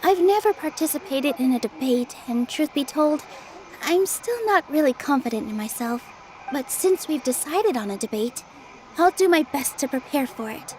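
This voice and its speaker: A gentle young dancer heroine from a video game, with a high, girlish pitch. The manner is sincere and modest, with quiet determination. Faint noise sits behind the voice. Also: lively intonation, moderate pace. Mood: earnest. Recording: faintly noisy game capture.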